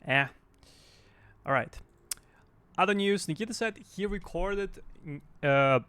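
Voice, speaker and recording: A man hosts an unscripted gaming news update in a casual register. His voice sits at an average pitch and he speaks haltingly, feeling his way through sentences. The sound is dry, with no room around it. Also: a bit bright, clean tone, no echo. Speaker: YouTube game news host.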